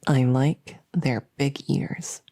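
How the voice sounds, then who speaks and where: A woman playing an anxious, overworked but friendly monster under the bed in a comedic ASMR roleplay, rambling and stammering softly, often slipping into a whisper. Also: extremely low pitch, steady tone, extremely slow, creaky, full character voice. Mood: friendly, winding down. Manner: rambling, nervous, stammering and repeating herself.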